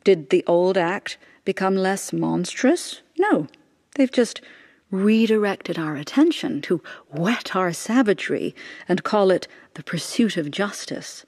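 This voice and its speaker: A woman's voice: mid-range voice, expressive, a little slow, a little mellow. Intense, devout mother in anguish. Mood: bitter, defiant. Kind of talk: radio drama acting.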